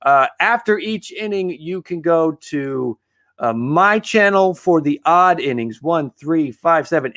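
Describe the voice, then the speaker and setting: A man's voice: fairly high pitch, fairly bright tone. Tired, rambling male sports streamer. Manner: rambling, many fillers and restarts, tired late-night talk. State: tired, losing his voice. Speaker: host of a live sports stream. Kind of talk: casual live-stream chat reading viewer comments.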